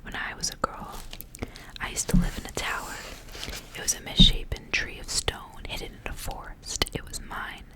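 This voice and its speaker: Storybook role: talking skull of a dead horse, stern and solemn, breathy whisper only woman reader performing. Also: moderate pace, lightly acted, poor audio. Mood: solemn, knowing.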